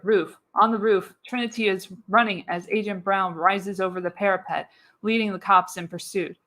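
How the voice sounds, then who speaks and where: Female narrator, mid-pitched, scripted live reading, dramatic storytelling emphasis, radio play. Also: fairly bright tone. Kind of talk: scripted narration, live read of screenplay action.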